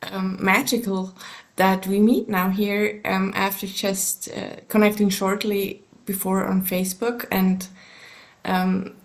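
Slow-spoken Swiss woman, native German speaker. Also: medium pitch, little pitch movement. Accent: Swiss German, with English learned in the US. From Switzerland. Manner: measured, pauses to search for words. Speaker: guest, subconscious coach and therapist. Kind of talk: casual podcast interview.

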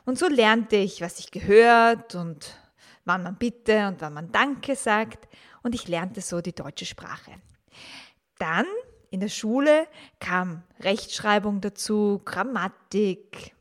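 A woman in her forties hosting her own podcast, telling a personal story about how she learned to talk with children, calm and reflective, asking the listener questions. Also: mid-range voice, slow. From grew up in the 1980s and 1990s. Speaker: podcast host, teacher and mother.

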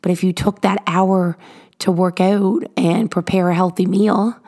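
A woman with a hoarse, raspy voice, rough with gravel and sitting a little low, hosts her podcast from a garage. She talks earnestly and encouragingly, stretching out the words she wants to stress. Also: fairly even intonation, warm tone. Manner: earnest, encouraging, drawn-out emphasis. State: hoarse from illness. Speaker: podcast host. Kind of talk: motivational podcast monologue.

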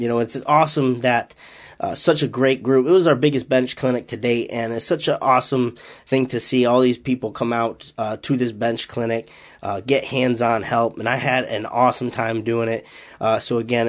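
A man from Massachusetts with a slight Massachusetts accent, a strength coach hosting his own podcast and talking casually in an upbeat, rambling way with lots of fillers. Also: medium pitch. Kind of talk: casual solo podcast talk.

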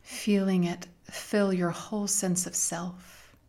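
A woman leading a guided meditation in a soft, gentle, soothing manner with long pauses and breathy phrase endings. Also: lowish voice, flat delivery.

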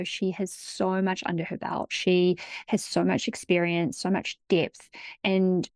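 A woman's voice: fairly low pitch, gravelly, darkish tone, dry recording. A woman hosting a podcast, reading a guest introduction and then chatting enthusiastically with her guest. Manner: enthusiastic, effusive praise. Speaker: podcast host. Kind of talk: warm podcast interview intro and chat.

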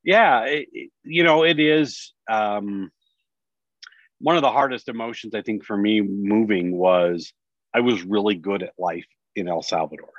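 A man's voice: fairly low pitch, unhurried, dry recording. A man speaking as a podcast guest, telling his own experience casually in a candid, self-reflective way. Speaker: podcast guest, business executive. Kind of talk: casual personal storytelling in an interview. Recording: dry, slightly dull sound.

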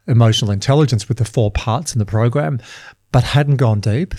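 An upbeat man hosts a coaching podcast, talking in a chatty flow with quick asides. His voice is mellow and warm, and the recording is close and dry. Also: medium pitch, dry recording. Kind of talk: casual coaching conversation.

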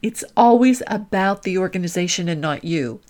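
Woman coach giving emphatic, deliberate advice. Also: on the low side, animated, a little mellow. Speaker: career coach and podcast host. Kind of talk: instructional coaching monologue.

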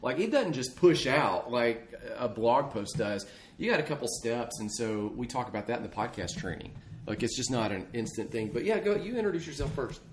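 A man's voice: mid-range voice, big pitch swings, moderate pace. A man speaking as a podcast co-host, chatting casually and off the cuff with folksy, loose phrasing. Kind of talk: casual unscripted podcast chat. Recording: clear background noise, ambience.

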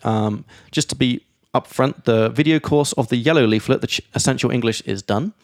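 Male podcast teacher, lively explainer, reads out examples, mid voice, dry close sound. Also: mid-range voice, a little fast, dry recording. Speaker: podcast host and English teacher. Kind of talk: explaining grammar in casual chat.

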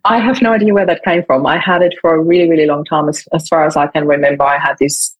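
A middle-aged woman from Austria, a podcast guest on a remote call, telling her life story in an animated way and acting out quotes. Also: sits low, fast talker, highs cut off. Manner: animated, laughs often, acts out quotes. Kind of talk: conversational storytelling.

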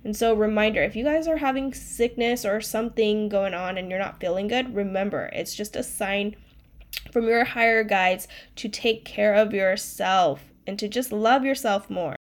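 A woman's voice: mid-range voice, moderate pace. A young woman hosting her own podcast, chatting casually and rambling, her voice nasal from a cold. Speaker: podcast host, life coach. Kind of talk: casual solo podcast chat.

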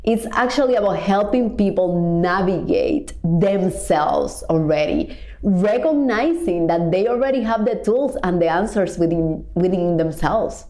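A woman's voice: lowish voice, animated, unhurried, dark tone, roomy sound. A woman born in Cuba, a life coach and podcast host, talking earnestly in a reflective motivational monologue, stretching key words and building on repeated phrases.

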